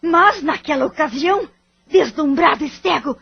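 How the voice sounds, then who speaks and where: A woman's voice: sits high, lively intonation, moderate pace, gravelly, highs cut off. A woman acting in an audio drama with a Brazilian accent, playing a bitter, wounded wife and devoted mother in formal, melodramatic phrasing. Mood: reproachful, hurt.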